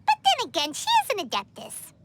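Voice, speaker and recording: Super high squeaky little fairy sidekick, cheeky n excitable, really sing-song, game cutscene. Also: female voice, a little fast, background noise, heavily acted. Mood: musing. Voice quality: squeaky, childlike. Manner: talks of self in third person, exclaims readily. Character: tiny excitable floating fairy companion girl, cheeky and childlike. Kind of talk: animated game voice acting.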